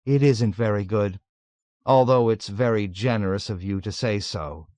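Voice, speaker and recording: A man's voice: on the low side, moderate pace, no room sound, lightly acted. A man doing a meek, sly young officer. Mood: demure. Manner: demure, soft, quietly insistent.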